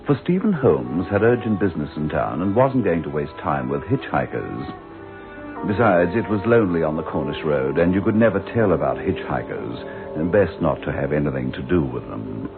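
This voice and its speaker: A man's voice: rumbling low, very animated, moderate pace, vocal fry, over music, exaggerated voice. A man narrating a scripted radio drama as a wry storyteller. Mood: wry, tense. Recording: tinny old radio recording.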